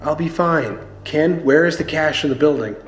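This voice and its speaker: A man's voice: a little high, normal speed, roomy sound, close to an everyday voice. A man acting a shaken but determined armed survivor of a zombie outbreak in a radio play. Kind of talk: radio play acting with first-person narration. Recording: music bed under the voice.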